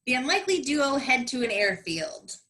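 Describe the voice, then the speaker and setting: A woman's voice: sits high, very animated, moderate pace, sharp tone. A woman narrating a comedic scripted radio play with sassy, dramatic delivery.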